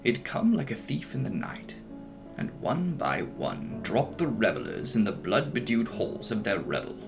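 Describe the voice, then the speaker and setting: A man's voice: fairly low pitch, sing-song, normal speed, vocal fry. A man narrating a horror story as a dramatic audiobook reading, with a theatrical, solemn and emphatic delivery. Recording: music behind the voice. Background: music.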